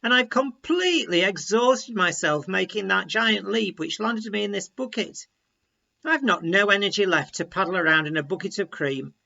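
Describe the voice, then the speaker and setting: A man's voice: high-pitched, animated, moderate pace, gravelly, bright, forceful tone, highs cut off, heavily acted. A man voicing a weary, defeatist little frog who complains plaintively in a children's story. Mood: weary, hopeless.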